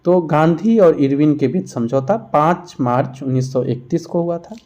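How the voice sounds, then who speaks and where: A man's voice: a little high, moderate pace, a little mellow. A man teaching an exam revision lesson, reading out questions and explaining each answer. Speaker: teacher for competitive exam preparation.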